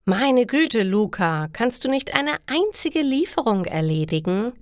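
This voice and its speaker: A woman's voice: on the high side, very animated, unhurried, no room sound, voice pushed up. A bossy, scheming workmate from a storybook, a man as read by a woman, with swooping, emphatic phrases. He talks like someone used to giving orders, and the sound is close and very dry. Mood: exasperated, scornful. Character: scheming, scornful male coworker, bossy but chummy. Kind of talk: learner storybook dialogue.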